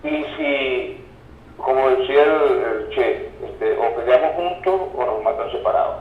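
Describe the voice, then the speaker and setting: A man explaining ideas as an academic interview guest, halting and repeating words as he searches for phrases. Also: medium pitch. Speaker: interview guest, university professor and researcher. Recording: roomy, distant, dull sound with faint noise.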